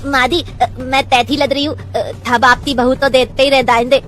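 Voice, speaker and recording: A woman's voice: high-pitched, flat delivery, normal speed, fairly bright tone, faint music behind. A woman voicing a naive young bride who lisps and hesitates, in a cartoon story. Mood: innocent. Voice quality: lisping, childlike speech. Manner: hesitant, with fillers. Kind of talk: cartoon voice acting.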